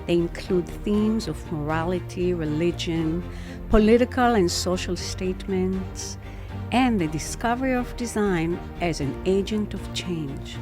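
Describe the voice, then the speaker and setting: A woman's voice: on the low side, a little slow. Woman hosting an interview, music behind. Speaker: interviewer and host. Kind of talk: scripted introduction and interview.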